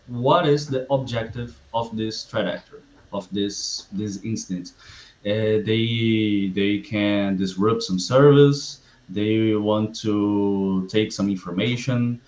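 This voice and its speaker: Guy talking slow, lots of restarts, kinda dull lo-fi sound. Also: on the low side. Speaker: guest malware analyst. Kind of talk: conversational interview.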